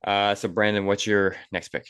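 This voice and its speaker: Young man, deep voice, podcast host, casual, rambling, dry sound. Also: flat delivery, normal speed, a bit bright, clean tone, no room sound.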